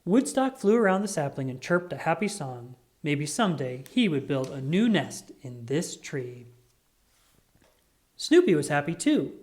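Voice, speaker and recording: A reader giving an expressive, scripted read-aloud of a children's picture book. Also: medium pitch, a little slow. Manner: animated, expressive reading.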